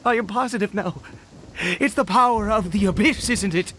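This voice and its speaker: A man voicing a fanatical, grief-broken nobleman father in a video game, theatrical and halting in delivery. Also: high-pitched, bright, forceful tone, slight background noise. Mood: fervent, unhinged. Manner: theatrical, halting, with breaks into laughter. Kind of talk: dramatic video game voice acting.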